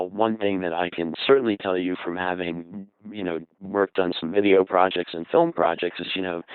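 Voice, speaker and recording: A man's voice: on the low side, smooth, no room sound. Man explaining over a narrow radio-like link. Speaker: panel guest on voice-over audio. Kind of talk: conversational panel discussion. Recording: narrow phone-like radio transmission sound.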